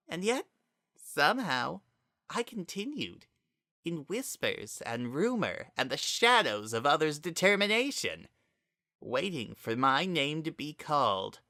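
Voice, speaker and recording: Narrator voicing an eerily serene, high-pitched possessing child spirit. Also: bright, forceful tone, no room sound, studio quality, exaggerated voice. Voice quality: maddened, hollow tone. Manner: slow, theatrical, coaxing monologue with deliberate pauses. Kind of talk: dramatic reading of fan fiction.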